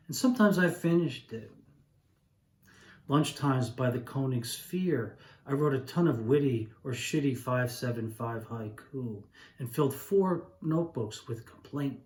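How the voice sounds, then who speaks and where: An echoey room surrounds a mature man with a mid-pitched voice. He speaks as a poet sharing his work and memories, in a conversational and reflective manner that can be halting. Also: a little mellow. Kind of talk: poetry reading and informal reminiscence.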